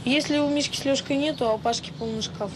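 A woman's voice: high-pitched, fast talker, background noise, close to an everyday voice. An actress playing a frank, stubborn schoolgirl from an orphanage in a radio drama, with a blunt, earnest and colloquial way of talking. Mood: earnest, insistent. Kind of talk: naturalistic radio drama acting.

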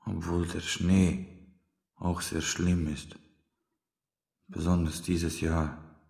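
An actor playing a gruff, grumbling, deadpan interrogator stuck in the snow in a radio drama. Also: male voice, sits low, drawn-out words, full character voice. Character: gruff, grumbling absurd snowbound interrogator comrade. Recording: roomy, reverberant studio drama scene.

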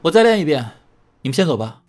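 Man acting a proud young idol dancer, animated. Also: on the high side, fast. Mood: casual. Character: defiant, proud young male dancer in a pop group. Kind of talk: audio drama acting.

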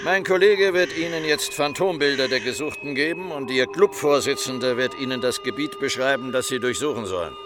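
An actor playing a formal, official police officer briefing volunteers in a radio play. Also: male voice, mid-range voice, a little fast, few pauses, lightly acted. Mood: stern, official. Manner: official, instructing.

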